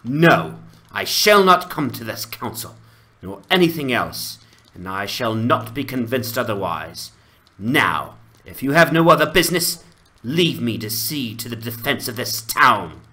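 A man's voice: medium pitch, very animated, measured pace, bright, forceful tone. A man voicing a stubborn, indignant young townswoman leader, scolding and defiant, in a fantasy game dramatization.

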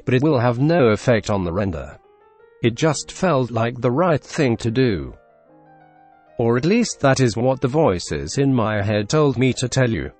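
Russian-accented young man, deadpan tutorial narrator with dry jokes, mid-range voice, faint music behind. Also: medium pitch. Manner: deadpan, dry absurd humor. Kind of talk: scripted screen-recorded tutorial voice-over.

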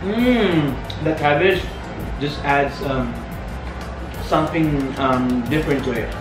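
A young man with a Filipino accent chats cheerfully while he eats, his voice sitting a little high. He sounds a bit far from the mic, in an echoing room. Music plays clearly underneath. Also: takes it slowly. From Philippines. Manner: chatty, upbeat, laughs often, talks while eating. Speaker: vlogger. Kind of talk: casual food tasting chat. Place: indoors in a small room, seated at a table. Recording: echoey room from a distance with music.

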